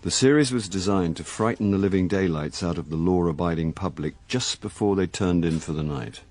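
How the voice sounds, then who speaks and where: An actor playing a roguish, hard-drinking, middle-aged British actor-sleuth in a radio comedy mystery, wry and cheeky. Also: male voice, low-pitched, brisk, darkish tone, no echo, close to an everyday voice. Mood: dry narration. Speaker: actor playing the lead and narrator. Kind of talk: radio comedy-mystery drama acting, with dry first-person narration.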